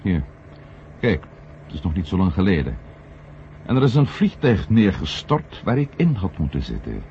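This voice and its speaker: An actor playing an insistent, argumentative former astronaut turned journalist in a 1970 radio play, in speech. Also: male voice, sits low, very animated, moderate pace. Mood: earnest, argumentative. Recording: dull, noisy old radio recording.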